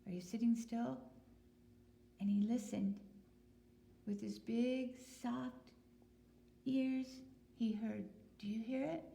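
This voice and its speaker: A woman teacher talks to young children in slow, simple phrases. Her voice has noticeable creak, and the room is echoey with some hiss behind her. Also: a little high, fairly even intonation, dark tone. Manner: simple, deliberate phrasing with questions to young listeners. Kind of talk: picture-book read-aloud and talk to young children. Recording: roomy echo with noticeable hiss.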